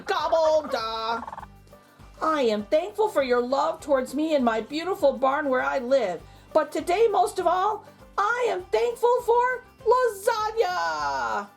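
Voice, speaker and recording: A woman voicing an excitable, joyful turkey in a children's storybook, exclaiming and gobbling with innocent delight. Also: sits high, vocal fry, pitched above the performer's own voice, heavily acted. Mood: proud gobbling. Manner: exclaiming, whooping, drawn-out gobbles.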